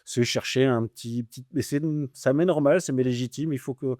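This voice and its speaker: A man with a northern French ch'ti accent recounts his years abroad in a lively, slangy manner, stumbling through restarts and fillers. His voice is mellow and dark, and it sits dry and close in the room. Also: medium pitch, fairly quick, no room sound. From northern France. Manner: animated storyteller, slangy, many restarts and fillers. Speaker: guest. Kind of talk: casual interview conversation.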